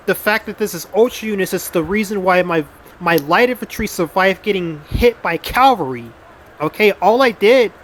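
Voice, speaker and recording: A man's voice: high-pitched, fairly bright tone, a little hiss or hum. A man giving casual gameplay commentary as a YouTuber, blunt and rambling, with frequent restarts and some swearing. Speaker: gaming YouTuber.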